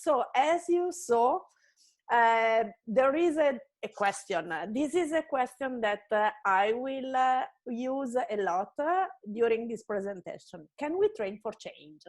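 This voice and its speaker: A woman's voice: a little high, expressive, takes it slowly. Hesitant Italian-accented woman presenting. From Italy. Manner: hesitant, many filler sounds. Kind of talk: video-call presentation. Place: apartment. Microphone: video call.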